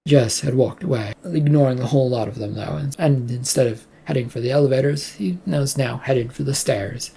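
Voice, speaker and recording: A narrator with a husky, creaky voice reading a scripted story aloud. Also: mid-range voice, fairly quick, hardly any pauses, dark tone.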